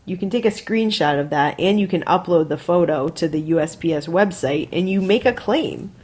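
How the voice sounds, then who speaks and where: A woman's voice: lowish voice, lively intonation, normal speed, darkish tone. An American woman co-hosting a podcast, giving casual advice in an instructive, emphatic way. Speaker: podcast co-host.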